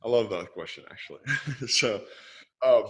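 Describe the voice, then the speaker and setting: A man from Lithuania, a coach talking as a podcast guest, quickly listing ideas one after another. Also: medium pitch, animated, a bit bright. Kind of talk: casual podcast interview.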